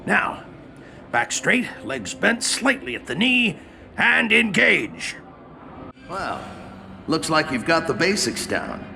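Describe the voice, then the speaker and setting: An actor playing a seasoned old alien general in a video game, a gruff, commanding mentor who instructs theatrically. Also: male voice, fairly high pitch, sing-song, slow, long pauses, sharp tone, a little hiss or hum, full character voice. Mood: instructing. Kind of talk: video game cutscene acting.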